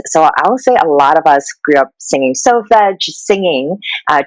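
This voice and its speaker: A woman from Hong Kong in her thirties, talking reflectively and warmly as an interview guest, with some fillers. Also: sits low, dry recording, low-quality recording. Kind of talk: conversational interview.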